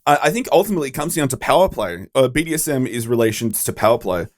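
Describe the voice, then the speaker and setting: A man with a deep voice co-hosting a podcast, chatting casually, rambling and swearing freely. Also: very animated, fast, dry recording, clean studio sound. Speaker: podcast co-host. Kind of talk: casual chat.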